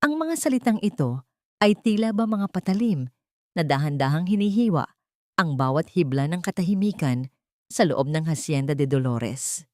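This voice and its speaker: A woman's voice: low-pitched, lively intonation, normal speed, a little mellow, no room sound, studio quality. A woman narrator reading a scripted story in a dramatic style.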